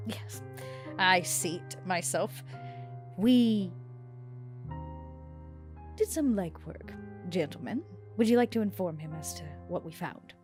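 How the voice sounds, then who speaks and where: A woman's voice: lowish voice, animated, takes it slowly, long pauses, soft background music. Poised woman playing a tabletop game. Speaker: player. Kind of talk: in-character tabletop roleplay.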